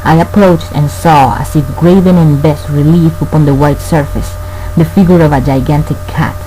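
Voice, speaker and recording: An actor playing a tormented man narrating a gothic horror tale, formal, grave and measured in a radio play. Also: a little high, steady tone, mellow, natural voice, poor audio. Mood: awed. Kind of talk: scripted dramatic narration. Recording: faint background noise and hum.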